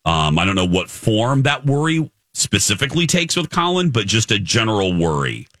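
Animated, bright-voiced man hosting radio chat. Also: on the low side, moderate pace, no room sound, studio quality. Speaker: radio show host. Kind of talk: casual entertainment chat. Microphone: close studio microphone.

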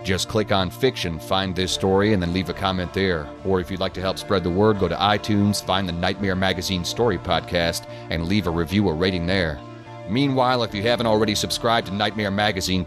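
A man reads a scripted podcast announcement as the host. His voice is low, and music plays clearly underneath it throughout. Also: fairly even intonation, a little fast. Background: music bed.

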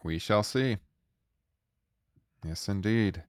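A man hosting a podcast, relaxed and laughing often, wrapping up the show with plugs. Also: on the low side, slow, no room sound, studio quality. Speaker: podcast host. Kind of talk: casual chat and show sign-off.

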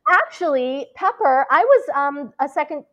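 A woman's voice: sits high, big pitch swings, moderate pace, a bit bright. A woman actress chatting as an interview guest, talking freely with many fillers and restarts. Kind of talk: casual interview chat.

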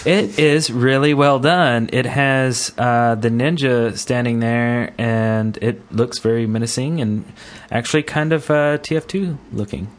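A man with a medium-pitched voice co-hosts a gaming podcast. He chats casually, feeling his way through his thoughts in a hesitant, meandering delivery. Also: unhurried, hardly any pauses. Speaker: podcast co-host.

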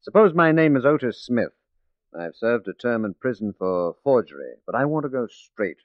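An actor playing a shrewd, determined police detective in a 1940s radio drama, speaking in a measured, persuasive way. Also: male voice, medium pitch, sing-song, no echo, close to an everyday voice. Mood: sly, confident. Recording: muffled 1940s radio recording.

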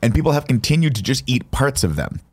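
A man's voice: medium pitch, big pitch swings, darkish tone, husky. A man co-hosting a casual comedy chat show, teasing his friends and swearing freely. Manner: rapid, exaggerated, swearing, teasing. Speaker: co-host.

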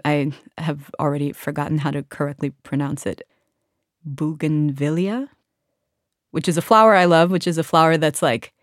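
A woman's voice: low-pitched, dry recording, studio quality. A woman poet from Washington, D.C., talking reflectively and casually about her writing, with frequent filler words. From Washington, D.C., United States. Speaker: author and poet. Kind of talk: reflective podcast interview talk.

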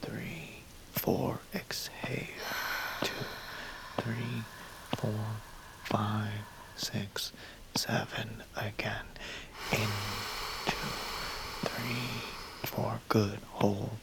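An actor playing a gentle, steady counselor in an audio drama, speaking in a soothing, unhurried, soft way. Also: male voice, on the low side, in a whisper, full character voice, low-quality recording. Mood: soothing, hushed. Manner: soothing, unhurried, often softly breathy. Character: gentle, steady male counselor guiding breathing exercises.

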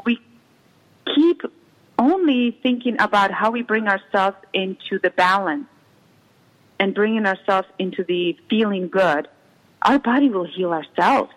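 A woman's voice: mid-range voice, animated, low-quality recording. Middle-aged woman with a Czech accent, earnest. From Czech Republic. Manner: earnest, halting, repeats phrases for emphasis. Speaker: interview guest, intuition healer. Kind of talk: conversational interview.

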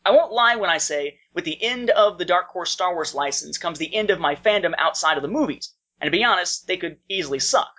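A man's voice: high voice, animated, brisk, few pauses, sharp tone. A man co-hosting a podcast, talking casually and reading out listener feedback. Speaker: podcast co-host. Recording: dull lo-fi podcast audio.

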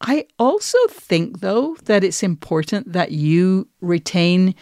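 A woman's voice: lowish voice, expressive, takes it slowly, a little mellow, dry recording, clean studio sound. A woman hosting a podcast, giving thoughtful conversational commentary in articulate, considered phrases. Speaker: podcast host and writer.

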